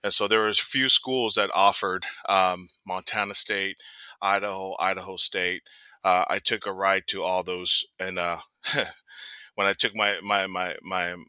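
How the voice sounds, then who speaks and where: A man's voice: low-pitched, flat delivery, a little slow, sharp tone, clean tone, no room sound. A middle-aged man from American Samoa who grew up later in Seattle, talking earnestly and casually as an interview guest, repeating phrases for emphasis. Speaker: podcast guest, youth sports coach and father. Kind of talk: casual personal storytelling in an interview.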